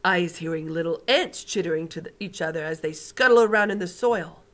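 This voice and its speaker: A woman voicing a huge, gentle male giant with mangled grammar while reading a storybook aloud. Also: on the low side, fairly quick, close to an everyday voice. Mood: earnest. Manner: loud, earnest, mangled grammar.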